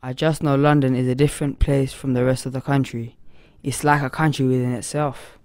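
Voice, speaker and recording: Young man, monotone smooth voice, dry close recording. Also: mid-range voice, brisk, no room sound. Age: young adult. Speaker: interviewee. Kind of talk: interview answer.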